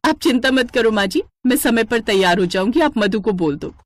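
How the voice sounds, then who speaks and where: Woman acting a vain, high-pitched jealous housewife. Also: animated, normal speed. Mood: boastful. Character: vain, jealous, greedy young daughter-in-law.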